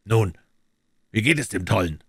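Man playing a cold scornful nobleman, very deep, quiet, dry. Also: expressive, normal speed, breathy edge, dry recording. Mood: cool scorn. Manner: quiet, cool, contemptuous. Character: cold, scornful middle-aged male nobleman.

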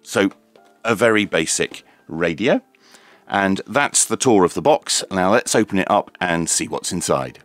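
Low-voiced man, very lively pitch. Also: unhurried, no echo, soft background music. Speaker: radio reviewer and presenter. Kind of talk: scripted product review narration with dry jokes.

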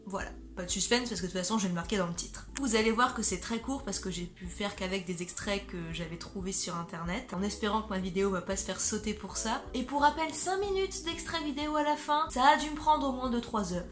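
A woman's voice: mid-range voice, fast, few pauses. A woman in her twenties chatting casually to camera, talkative and self-deprecating. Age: 20s. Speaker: video creator. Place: small furnished bedroom indoors. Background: faint background music.

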